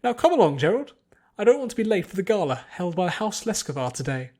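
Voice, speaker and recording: Man putting on a shrill, snooty young noblewoman. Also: sing-song, fast talker, darkish tone. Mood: imperious. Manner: pretentious, haughty, demanding. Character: snooty, spoiled, nasty rich young noblewoman.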